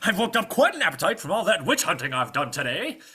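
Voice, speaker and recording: A boastful storybook knight, put on by a male storyteller, pitched high and bright. He is pompous and blissfully oblivious, with genteel old-fashioned turns of phrase. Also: big pitch swings, fast talker, slightly rough, full character voice. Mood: boastful, pleased.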